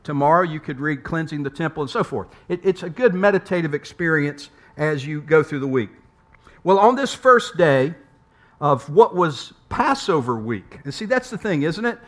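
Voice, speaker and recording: A man from the United States preaches in a conversational, unhurried sermon voice. His pitch sits a little on the high side for a man, and he leans on rhetorical questions and restarts words as he builds his point. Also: lively intonation. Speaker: pastor.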